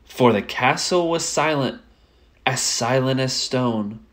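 Slow, expressive woman storyteller. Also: rumbling low. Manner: expressive, measured phrasing. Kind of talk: rhyming children's picture book read-aloud.

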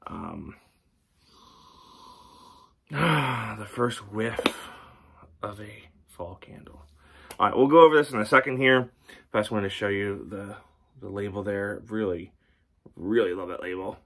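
A man's voice: lowish voice, measured pace, creaky, long pauses, fairly bright tone, slight background noise. A man in his thirties, a candle reviewer, chatting casually to camera at home, rambling with many ums and restarts. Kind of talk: casual product review vlog. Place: home room with record shelves.

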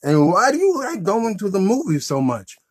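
A man's voice: sits high, wildly swooping pitch, normal speed, breathy edge. A man in his thirties hosting a children's puppet show in casual, improvised chat.

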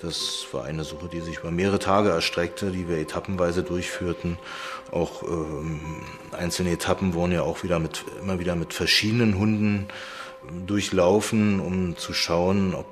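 A man's voice: low voice, fairly even intonation, normal speed, music in the background. A man speaking as a homicide investigator in an interview. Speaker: police homicide chief giving interview. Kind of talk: interview statement.

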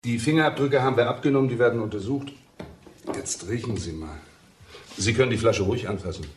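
A man acting as a businesslike police inspector in a radio crime drama. He is brisk and factual, in an echoey room with clear noise. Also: mid-range voice, natural voice. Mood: brisk, urgent. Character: brisk, matter-of-fact male police inspector. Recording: echoey, noisy radio play recording.

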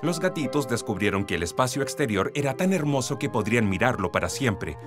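Really deep-voiced lady narrating a kids story, latin american spanish, music behind. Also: very animated, fairly quick. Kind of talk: scripted children's storytelling narration.

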